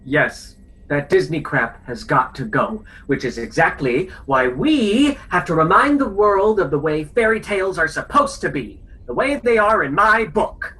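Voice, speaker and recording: A man playing a stubborn, pompous defender of old fairy tales in a radio play, indignant and raspy. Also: a little high, animated, unhurried, bright, forceful tone, full character voice, low-quality recording. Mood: stubborn, scornful. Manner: pompous, exasperated.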